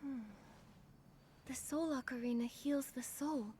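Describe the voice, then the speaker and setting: An earnest young healer from a video game. Her voice is high and soft, with a breathy, caring delivery. Faint noise sits in the background. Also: steady tone, unhurried. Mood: gentle concern. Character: gentle, earnest young female adventurer and healer.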